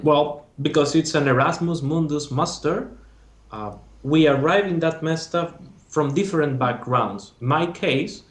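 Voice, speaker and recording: A young man with a Spanish accent recounts his studies in a relaxed interview. He talks haltingly, restarting phrases as he reaches for words. His mid-range voice rings in an echoey room. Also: medium pitch. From Spain. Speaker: master's graduate. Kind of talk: casual interview.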